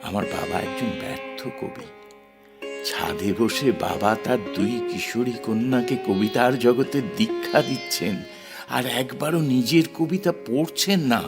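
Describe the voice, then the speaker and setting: Brooding, romantic poet, dramatically animated. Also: male voice, mid-range voice, gravelly. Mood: impassioned. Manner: theatrical, emotive, halting at times. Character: married male poet, brooding, romantic, conflicted, self-questioning. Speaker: actor and first-person narrator. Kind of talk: Bengali audio drama acting with introspective narration. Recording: music under the voice. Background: background music.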